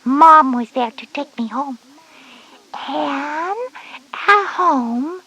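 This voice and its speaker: Breathy, slow-talking little girl. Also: high-pitched, bright, forceful tone, dull audio, exaggerated voice. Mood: worried, reluctant. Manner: hesitant, timid. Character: anxious little girl starting kindergarten. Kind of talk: scripted children's educational audio drama.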